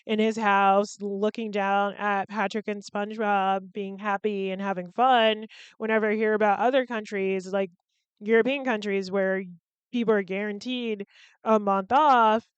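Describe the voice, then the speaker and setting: Slow, very smooth woman. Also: medium pitch, steady tone, no room sound, highs cut off. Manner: rambling and candid, with frequent "like" and casual swearing. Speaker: podcast host. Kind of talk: solo podcast chat.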